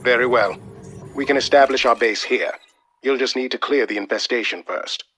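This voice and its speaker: An actor playing a steady military advisor in a game cutscene, explaining things in a measured way. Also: male voice, mid-range voice, husky. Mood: businesslike. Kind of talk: video game cutscene acting.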